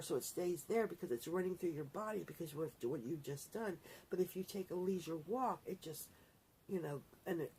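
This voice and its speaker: A woman's voice: lowish voice, mellow, slight background noise, rough recording. A middle-aged woman vlogger chatting casually to her viewers in a rambling, self-correcting way. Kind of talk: casual talk to camera.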